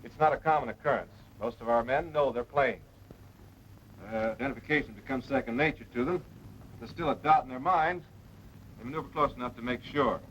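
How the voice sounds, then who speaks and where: An actor playing a seasoned, patient air force officer giving measured, authoritative instruction in a 1940s military training film. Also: male voice, mid-range voice, a bit bright, natural voice. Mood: matter-of-fact. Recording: noisy dull vintage film soundtrack.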